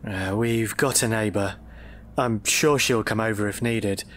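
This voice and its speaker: An actor playing a gentle, anxious husband in a radio drama, soothing and pleading with some hesitation. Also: male voice, fairly low pitch, unhurried, slight background noise, lightly acted. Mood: anxious, hopeful. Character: gentle, anxious husband coaxing his confused wife.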